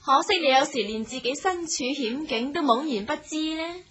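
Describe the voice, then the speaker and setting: An actress playing a plucky, teasing young martial maiden in a radio drama, coy and confident in manner. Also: female voice, high voice, animated, normal speed, fairly bright tone, muffled recording. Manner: coy, playful, confident, sentence-final lilting particles. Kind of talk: Cantonese radio drama acting.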